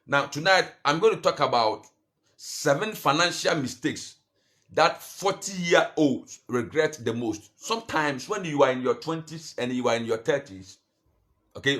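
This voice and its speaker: Man coaching with halting, repeated restarts. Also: a bit bright. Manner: repetitive, halting restarts, rhetorical questions; rises in pitch and force when urging. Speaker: finance coach. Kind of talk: motivational talk to camera.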